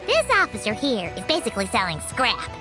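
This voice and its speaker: A woman voicing a small, excitable, childlike fairy companion in an anime-style game, chirpy and nosy. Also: on the high side, a little fast, music in the background. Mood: chatty, nosy. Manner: refers to self in third person, chirpy, exclamatory.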